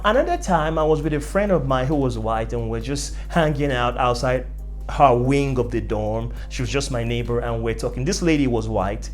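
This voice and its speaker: A young adult with a Nigerian accent telling personal stories in an emphatic way, repeating phrases for effect. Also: mid-range voice. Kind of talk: animated storytelling to camera.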